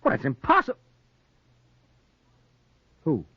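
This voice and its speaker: An American actor playing an excitable, self-justifying newspaper reporter in a 1940s radio noir drama. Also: male voice, slow pace, no room sound, close to an everyday voice. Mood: incredulous, pleading. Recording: muffled, noisy 1940s radio recording.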